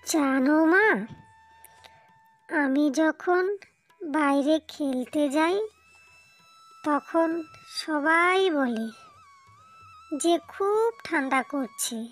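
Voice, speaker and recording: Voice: high voice, fairly bright tone, full character voice. An actor playing a poor, innocent little boy in a cartoon, speaking to his mother in a naive, earnest way. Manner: naive, earnest, drawn-out delivery. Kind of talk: slow cartoon dialogue.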